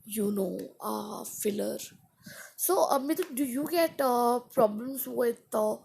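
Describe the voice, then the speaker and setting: A woman English teacher chatting casually with viewers on a live stream, halting and stopping to rephrase her own sentences, her voice not at its best today. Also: a little high, animated, vocal fry, darkish tone, slightly rough.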